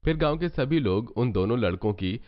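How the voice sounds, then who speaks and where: A man's voice: mid-range voice, expressive, normal speed. A man reading scripted narration for a story as its narrator. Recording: dull sound under loud music.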